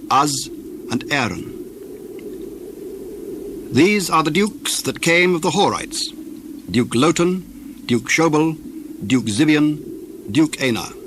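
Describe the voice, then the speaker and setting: A man narrating scripture solemnly from a script, in a measured, deliberate way with pauses between phrases. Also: fairly high pitch. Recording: older recording with faint background noise.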